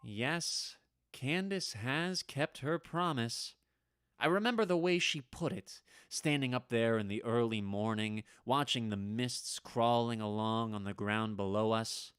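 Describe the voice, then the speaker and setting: A man's voice: medium pitch, a little slow, a bit bright, clean tone, no room sound, studio quality, lightly acted. A man playing a wry, easily flustered storyteller who has lived for centuries, in a dramatic vintage-style radio play. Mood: wry, reflective.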